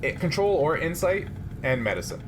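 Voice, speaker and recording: A man casually narrating a tabletop game as game master, in a halting, hesitant manner. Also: a little high, very animated, noisy background.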